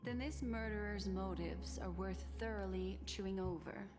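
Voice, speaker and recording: An actress playing a composed, enigmatic and shrewd woman advisor in a sci-fi fantasy video game, speaking in a measured, articulate way. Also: mid-range voice, in a large hall, music in the background, lightly acted. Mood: grave, analytical. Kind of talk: scripted video game dialogue.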